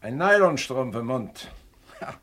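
An actor playing a wisecracking, sardonic police detective in a 1960s German radio crime drama. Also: male voice, mid-range voice, very animated, a little slow, a bit bright. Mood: dry, mocking. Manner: sardonic, joking. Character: wisecracking, dry-witted older male police detective.

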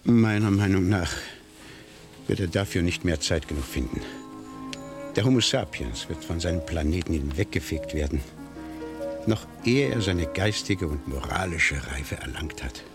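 A man acting a world-weary, philosophising gentleman with bon vivant charm in a 1970s radio play, eloquent and theatrical. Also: deep voice, expressive, normal speed. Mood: resigned, philosophical. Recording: music playing behind the voice.

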